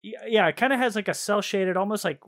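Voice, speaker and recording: Higher-pitched man chatting in slangy rambles. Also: fast talker, fairly bright tone. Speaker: podcast co-host. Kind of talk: casual chat about video games and shows.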